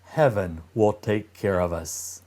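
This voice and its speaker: A man's voice: lowish voice, slow, dark tone. A man voicing a plucky young boy in a storybook read-aloud, soothing and earnest. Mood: hushed reassuring. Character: gentle, plucky young boy, reassuring brother.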